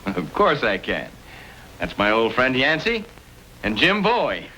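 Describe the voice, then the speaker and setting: A man's voice: medium pitch, wildly swooping pitch, takes it slowly. An actor playing a cheerful, nearsighted male blacksmith with a hearty delivery in an old-time radio western. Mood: delighted. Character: cheerful nearsighted male blacksmith getting his first spectacles. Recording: noisy old radio drama recording.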